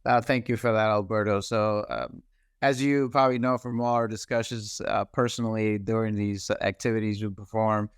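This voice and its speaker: A man from the Dominican Republic, a cybersecurity executive, telling his story as an interview guest in a measured, earnest way and pausing often on "uh". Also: lowish voice, steady tone, clean tone, no room sound, clean studio sound. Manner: measured, earnest, pauses with frequent "uh". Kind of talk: reflective personal storytelling.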